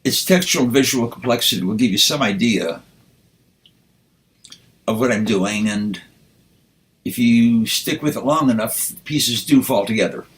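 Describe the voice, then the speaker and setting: A man, a poet, speaking and reciting with deliberate, measured phrasing. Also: medium pitch. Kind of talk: spoken introduction and poetry recitation.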